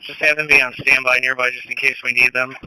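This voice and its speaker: A man's voice: medium pitch, flat delivery, fast, bright, forceful tone, clean tone, no room sound, poor audio. A man responding in the field, speaking matter-of-factly over a two-way radio. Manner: matter-of-fact. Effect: two-way radio transmission. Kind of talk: radio traffic.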